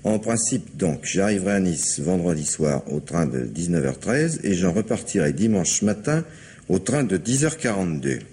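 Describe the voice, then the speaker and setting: Subordinate inspector in radio crime drama: gravel-rough bass, lively intonation, matter-of-fact. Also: male voice, moderate pace, exaggerated voice. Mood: reading aloud, wry. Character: male police inspector, matter-of-fact subordinate with dry asides.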